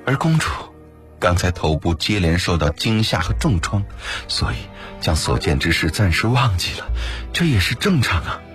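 Audio drama, man as weakened male captive, low voice, mild, composed, measured reasoning. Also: sing-song, a bit bright, soft background music. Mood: calm reasoning.

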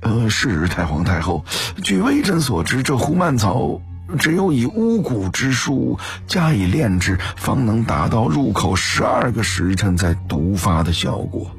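A man's voice: lowish voice, very animated, measured pace, few pauses, husky, soft background music, exaggerated voice. A man playing a cautious, deferential imperial physician in an audio story, speaking formally and carefully. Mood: hesitant, careful. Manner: hesitant, formal.

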